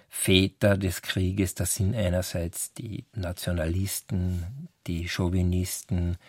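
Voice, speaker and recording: A man speaks in a low voice, recorded very dry. He explains like a scholar being interviewed, building his thoughts deliberately, phrase by phrase. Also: a little mellow, no echo. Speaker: literary scholar.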